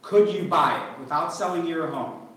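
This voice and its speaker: A man coaching a room of agents in a live seminar, his talk upbeat and conversational. His voice sits a little high. It is picked up from a distance in a very echoey hall. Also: normal speed, fairly bright tone. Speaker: real estate coach on stage. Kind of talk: live seminar Q&A and role-play. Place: large conference hall. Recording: roomy hall, mic heard from a distance.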